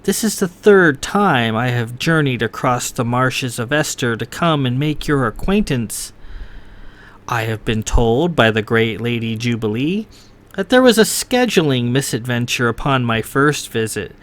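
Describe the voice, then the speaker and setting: A man's voice: medium pitch, lively intonation, measured pace, a bit bright. A man voicing a proud, cold young noblewoman who speaks in a formal, stern, clipped way.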